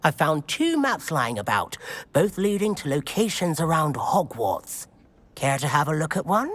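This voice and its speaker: A woman's voice: deep voice, sing-song, normal speed. A woman playing an eager, bookish teenage witch schoolgirl in a video game, with a British accent and a chirpy, well-spoken, inquisitive manner. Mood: friendly, eager. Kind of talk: video game cutscene acting.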